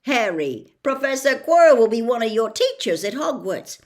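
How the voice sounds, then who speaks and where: A woman's voice: on the high side, sing-song, moderate pace. A woman voicing a huge friendly man who talks in a blunt, hearty, homely way.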